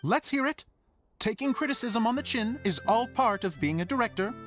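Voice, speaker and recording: A man playing an earnest, good-natured young theater director in a video game, with an open, expressive manner. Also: very high voice, moderate pace. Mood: eager. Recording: music behind the voice.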